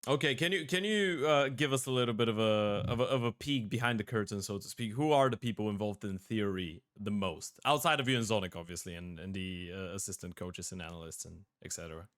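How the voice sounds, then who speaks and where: Male podcast host, smooth resonant voice, talky questions that circle and restart. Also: fairly low pitch, fairly quick, hardly any pauses, fairly bright tone. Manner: long rambling questions with repeated restarts. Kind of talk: casual interview questions.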